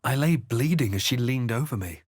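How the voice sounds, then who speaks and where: A male actor playing a brooding, resolute fantasy RPG adventurer in a video game, with a measured, dramatic storytelling delivery. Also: medium pitch, animated, slightly rough, dry recording, shouting, studio quality. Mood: grave narrating. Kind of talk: scripted video game character lines and narration.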